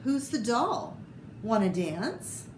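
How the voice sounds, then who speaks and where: A woman's voice: on the high side, very animated, slow pace, voice pushed up. A woman voicing a goofy, funny-looking young storybook prince who sputters and exclaims. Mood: eager. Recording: echoey room with clear background noise.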